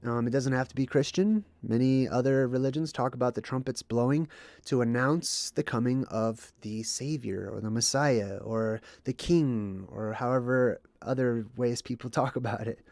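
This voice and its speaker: Male livestream host, mid-pitched, mildly stuffed up from a cold, explanatory delivery, dry close recording. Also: moderate pace, a little mellow, no room sound. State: under the weather, mildly congested. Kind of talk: explanatory monologue with chat reading.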